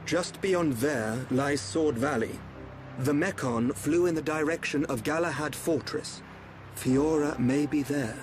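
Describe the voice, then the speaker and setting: An actor playing a composed, formal young man who speaks slowly, in a video game cutscene. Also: a little high, background noise, natural voice.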